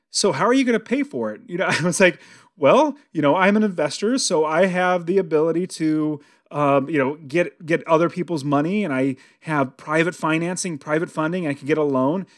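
A slightly high-voiced American man in his early forties talks like a podcast host coaching his listeners. His delivery is conversational and motivational, with emphatic stress and phrases repeated to drive points home. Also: moderate pace. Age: early 40s. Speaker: podcast host and real estate investing coach.

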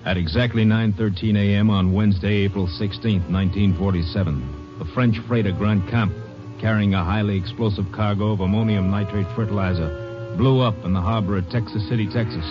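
A man giving grave, measured narration in a 1950s radio drama. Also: on the low side, steady tone, loud music behind the voice. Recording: dull 1950s radio broadcast.

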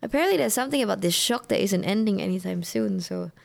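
A woman's voice: mid-range voice, lively intonation, fast talker, creaky. A young woman, chatting casually as a guest and peppering her talk with "like". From Singapore. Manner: chatty, fills speech with "like", quotes people animatedly. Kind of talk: casual chat.

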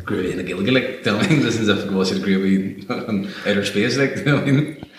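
An Irish man talking as a podcast guest, animated and quick, swearing casually. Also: on the low side. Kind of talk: casual interview chat. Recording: echoey, distant, noisy.

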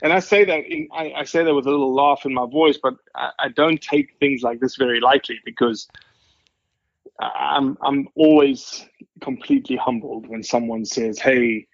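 A South African man in his forties, talking in a humble, rambling way as a podcast guest. His voice sits at a middle pitch and comes across a little dull and muffled. The room is dead and dry. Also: steady tone, moderate pace, no echo. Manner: humble, rambling with many restarts. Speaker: guest, wildlife scientist. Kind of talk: casual podcast conversation.

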